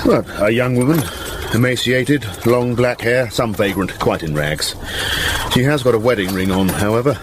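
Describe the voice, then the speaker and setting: A man playing a brisk, authoritative village doctor in a radio drama, clipped and matter-of-fact. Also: mid-range voice, background noise, lightly acted.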